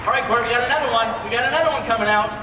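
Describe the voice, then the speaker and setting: A male host with a high voice speaks casually and brightly to a big hall. The sound is echoey and distant, with a loud crowd around him. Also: very fast, heavy background noise. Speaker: presenter. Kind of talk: casual, upbeat stage hosting. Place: large dark indoor arena stage. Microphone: stage PA captured by distant audience camera. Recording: echoey arena from afar, loud music and crowd.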